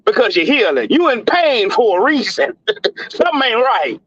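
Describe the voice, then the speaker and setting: A man's voice: very high-pitched, very animated, normal speed, fairly bright tone, no room sound, lo-fi sound. A man in his forties co-hosting a casual sports chat, laid-back and repeating himself, often laughing between points. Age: 40s. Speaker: podcast co-host.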